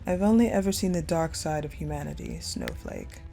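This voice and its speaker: A woman playing a cold, possessive female general in an intimate audio roleplay monologue, speaking in a hushed, deliberate way with pauses. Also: deep voice, huge pitch swings, vocal fry, full character voice. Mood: cold, resolute. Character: cold, possessive ice general confiding in her captive beloved. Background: music.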